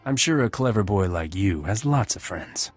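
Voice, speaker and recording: A self-assured smuggler captain in a sci-fi game. His voice sits fairly low with a slight rasp, and he talks in a cocky, flirtatious, wry way. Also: lively intonation, normal speed, soft background music. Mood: wry, businesslike. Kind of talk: video game dialogue acting.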